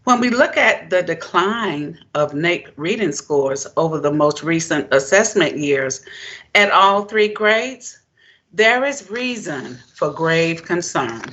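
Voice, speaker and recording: A woman's voice: lowish voice, expressive, slow, a bit bright. Woman giving a formal keynote, dull audio. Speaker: presenter, education keynote speaker. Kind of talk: formal prepared keynote speech.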